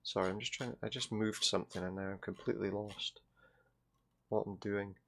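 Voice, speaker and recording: A man's voice: lowish voice, steady tone, a little fast, vocal fry, fairly bright tone. A British man commenting on a game, reading the on-screen text aloud with sarcastic, sweary asides.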